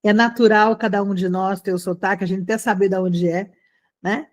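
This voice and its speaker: A Brazilian woman teaches an online class in a conversational, encouraging way. Her voice sits at a middling pitch for a woman. She talks directly to her students in an animated, chatty manner and checks in with short questions. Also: brisk. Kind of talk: conversational lesson addressed to students.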